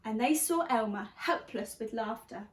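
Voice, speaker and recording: A woman reading a children's story aloud like a teacher, expressively and deliberately, stressing key words. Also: a little high, lively intonation. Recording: roomy, echoey sound.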